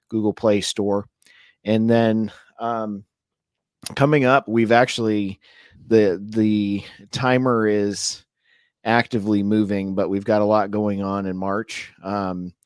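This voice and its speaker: Hoarse male co-host, lowish voice, dry close-miked sound, chatty and rambling. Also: a little mellow, no echo. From Ohio. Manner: chatty, rambling, laughs often. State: sore throat, losing his voice. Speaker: podcast co-host. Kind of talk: casual chat. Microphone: mic held very close.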